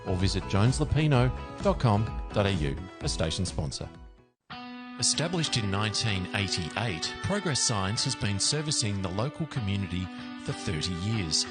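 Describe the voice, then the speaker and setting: A man's voice: lowish voice, sing-song, normal speed. A man reading scripted sponsor ads in an upbeat, salesy way. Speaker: ad voice-over announcer. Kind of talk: scripted radio ad read. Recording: ad over loud music. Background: music bed.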